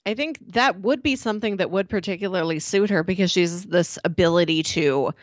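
A woman's voice: lowish voice, brisk, no echo. A woman co-hosting a book club podcast, chatting casually in an easygoing way and chuckling now and then. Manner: easygoing, chuckles while talking. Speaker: podcast co-host.

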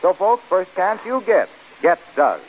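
A man's voice: sits high, big pitch swings, unhurried, highs cut off, a little hiss or hum. An American man reading a 1940s radio commercial in a folksy, salesmanlike way on a vintage broadcast. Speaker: radio announcer and pitchman.

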